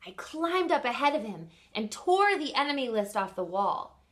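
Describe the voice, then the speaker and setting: A woman reading a picture book aloud expressively as a teacher does for children, pausing to ask simple questions. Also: fairly high pitch, very animated. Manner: pauses to quiz listeners with simple questions.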